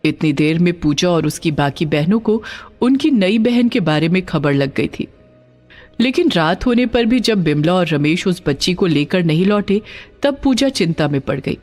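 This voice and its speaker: A woman reading a scripted story as narrator, with a dramatic, expressive delivery. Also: on the low side, normal speed, faint music behind.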